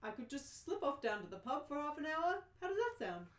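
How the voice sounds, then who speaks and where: A woman's voice: high-pitched, big pitch swings, brisk, voice pushed up. A woman playing an exasperated, bewildered ordinary man in an amateur radio play, dry and questioning. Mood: reasoning, wary. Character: exasperated, bewildered ordinary Englishman defending his house. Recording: roomy, echoing live reading.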